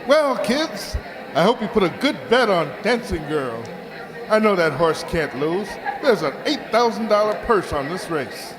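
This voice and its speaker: A man playing an excitable, exasperated boss in an old-time radio comedy, blustering and exclaiming. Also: high voice, measured pace. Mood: confident. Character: excitable, exasperated male boss who owns a racehorse. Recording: crowd noise behind.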